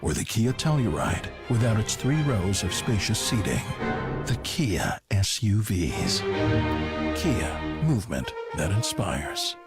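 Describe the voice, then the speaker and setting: Lowish, lively male ad narrator. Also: slow, over loud music. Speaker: commercial voice-over announcer. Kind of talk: scripted radio ad read.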